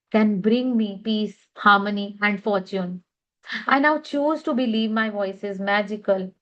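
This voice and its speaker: Slow, soft-spoken woman guiding affirmations. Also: on the high side, dull audio. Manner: slow, measured, repeating affirmations. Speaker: EFT tapping guide. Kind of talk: guided tapping affirmations.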